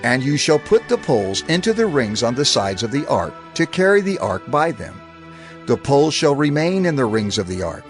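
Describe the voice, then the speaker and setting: Solemn man narrating scripture with lively pitch. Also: moderate pace, soft background music.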